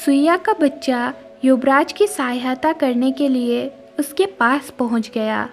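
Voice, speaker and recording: A woman with a high voice narrates a scripted children's-style tale. Music plays behind her storytelling. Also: unhurried.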